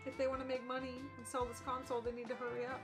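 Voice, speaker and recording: A woman's voice: high-pitched, completely flat delivery, racing. A woman chatting casually on a live game stream in an informal, rambling way. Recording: game music behind the voice. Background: video game music.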